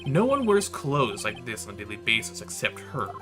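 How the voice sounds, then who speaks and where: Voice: mid-range voice, very animated, normal speed, over music, natural voice. A streamer voicing an earnest young male defense lawyer in a courtroom video game, making firm, pointed challenges. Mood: firm, arguing.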